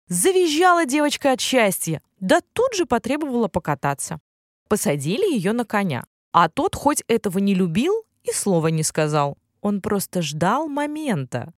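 Female fairy-tale narrator for children, highly animated intonation, very dry close sound. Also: dry recording. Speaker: storyteller.

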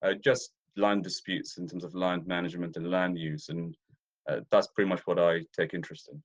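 A man's voice: low voice, steady tone, brisk. A man from Ethiopia, a deer stalker as interview guest, talking casually with many fillers and restarts. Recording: dull lo-fi audio.

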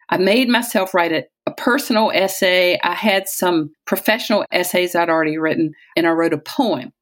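An American woman in her sixties from Southwest Virginia, telling personal stories conversationally, as if in an interview, often quoting people she has known. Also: on the low side. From Southwest Virginia, United States. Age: 60s. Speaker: interviewee, retired-age physician and poet.

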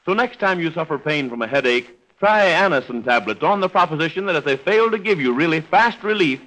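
A man's voice: a little high, animated, fairly quick, pushed and bright, poor audio. An American man announcing in a polished, formal 1930s radio style, reading a script on a vintage recording.

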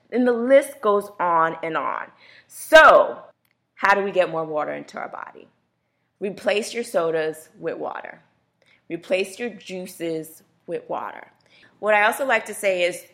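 A woman hosts a radio health show in a loose, chatty way. Her pitch sits in an ordinary middle range. She often laughs and plays out what other people say. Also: fairly bright tone. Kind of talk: casual radio talk.

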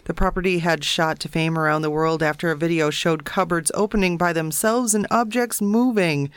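A woman's voice: on the low side, moderate pace, smooth, dry recording, studio quality. A woman co-hosting a podcast, reading paranormal news stories in a casual, matter-of-fact way. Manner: reads stories matter-of-factly, breaks into laughter at jokes.

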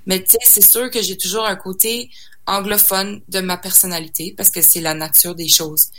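A woman's voice: medium pitch, steady tone, moderate pace, sharp tone. A young woman from Saskatchewan, a singer guest in a casual interview, talking hesitantly with many fillers and restarts and slipping in English words. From Saskatchewan, Canada.

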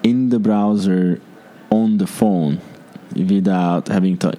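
Hungarian-accented man, low and mellow. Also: fairly even intonation, takes it slowly. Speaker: startup founder. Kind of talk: interview answers.

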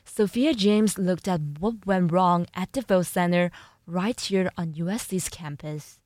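A young woman anchoring a student news program, reading a script in an even announcing manner. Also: on the low side, measured pace, no room sound, studio quality. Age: young adult.

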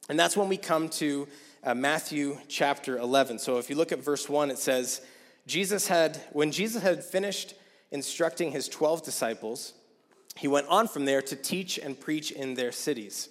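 A man in his thirties preaching a sermon in a casual, chatty way, his voice going hoarse. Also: fairly high pitch. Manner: casual, conversational, with many fillers. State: losing his voice. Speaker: guest preacher. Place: church sanctuary. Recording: long hall reverb.

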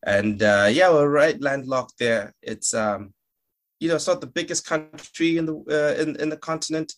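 A man's voice: a little high, moderate pace. A young man from Malawi, an actor, chatting as a guest in a casual interview, joking, laughing often and restarting his sentences.

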